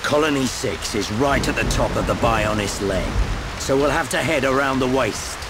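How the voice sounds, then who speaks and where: An actor playing a gruff, worldly older adventurer and guide in a video game cutscene, with a knowing, grumbling manner. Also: male voice, mid-range voice, expressive, moderate pace, very noisy, lightly acted. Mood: knowing, instructive.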